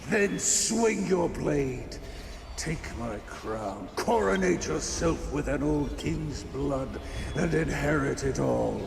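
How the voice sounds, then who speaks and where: A man's voice: on the high side, expressive, slow pace, vocal fry, noisy background, full character voice. An actor playing a stern, haughty old warrior king in a video game, grave and theatrical. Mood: haughty, bitter. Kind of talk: dramatic video game voice acting. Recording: echoey hall reverb, music underneath. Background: music.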